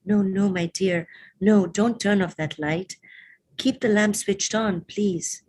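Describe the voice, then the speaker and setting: A woman acts out an elderly mother in a recited poem, proud and stubborn. The voice is husky and unhurried, with the phrasing of someone used to both scolding and sweetly coaxing. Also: medium pitch, sing-song, darkish tone, voice pushed up, exaggerated voice. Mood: soft pleading. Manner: exclamatory questions, coaxing repetitions. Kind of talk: dramatised poetry recitation.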